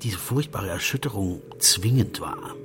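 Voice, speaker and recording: An actor playing a confiding co-narrator of an underworld tale in a literary radio drama, measured and sometimes hushed. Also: male voice, deep voice, expressive, background noise.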